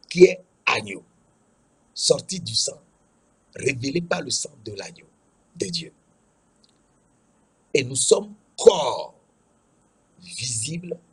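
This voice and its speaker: A man with a West African French accent preaching a sermon in an emphatic, repetitive way, with a husky, rough-edged voice. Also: mid-range voice, fairly bright tone, low-quality recording. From Togo. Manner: emphatic, repeats key phrases.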